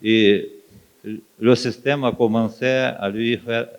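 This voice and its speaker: A man from Ukraine, a native Ukrainian speaker with an Eastern European accent, answering as a guest author on stage in halting, fragmented phrases. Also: medium pitch, fairly even intonation, clear, resonant tone. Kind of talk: onstage interview answers. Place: live festival stage. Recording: dull, muffled live recording.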